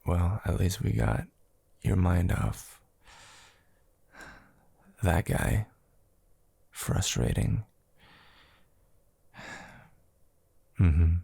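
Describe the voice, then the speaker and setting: A man playing an affectionate, teasing young boyfriend in an intimate ASMR roleplay, murmuring softly and hesitantly with a breathy, gravelly voice. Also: low voice, fairly even intonation, extremely slow, long pauses, no room sound, heavily acted. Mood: soothing. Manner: soft, hesitant, drawling, teasing.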